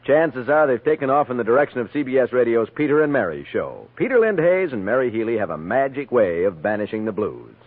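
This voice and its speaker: A man's voice: mid-range voice, sing-song, fairly quick, few pauses, phone-quality sound. A man with an American accent reading continuity and promos as a 1950s radio network announcer. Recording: roomy, band-limited vintage radio broadcast.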